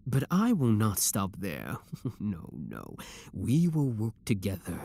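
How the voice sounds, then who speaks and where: A man playing an obsessive, menacing young villain with cold devotion, speaking deliberately and theatrically in a scripted ASMR roleplay. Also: on the low side, wildly swooping pitch, gravelly, mellow, breathy edge, full character voice. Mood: calculating, obsessive.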